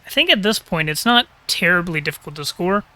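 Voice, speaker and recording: A man calls a live game match in a high, ringing voice, talking casually and thinking out loud. His throat is a little crackly. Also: expressive, brisk, no echo. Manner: casual, chatty, thinking aloud. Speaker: caster.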